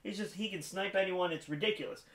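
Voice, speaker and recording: A man's voice: high-pitched, fast. A man co-hosting a gaming talk show, talking in a casual, conversational way with friends. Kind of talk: casual chat about video games. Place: small room lined with display cases, indoors. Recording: roomy echo.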